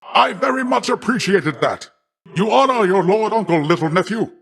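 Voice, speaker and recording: An actor playing a boastful, affectionate older uncle who is a sea god, pompous and theatrical, with grand old-fashioned wording, for a video game. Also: male voice, high voice, lively intonation, moderate pace, gravelly, a bit bright, heavily acted. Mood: hearty, jovial. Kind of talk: video game character voice acting.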